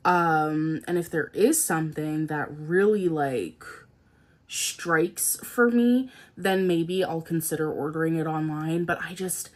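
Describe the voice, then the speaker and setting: A woman's voice: low-pitched, slow. Congested, chatty young woman vlogger. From Canada. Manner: animated, rambling, lots of "like" and "um". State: congested from a cold, a bit nasal. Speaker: beauty vlogger. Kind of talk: casual chatty product talk. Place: indoors at home, seated in a chair.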